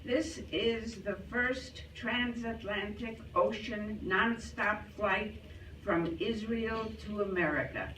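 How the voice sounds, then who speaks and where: A man telling scripted jokes to a live audience with deliberate, theatrical delivery. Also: high voice, bright, forceful tone. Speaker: joke teller at a club show. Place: club hall, live performance. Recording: echoey hall, distant, faint noise.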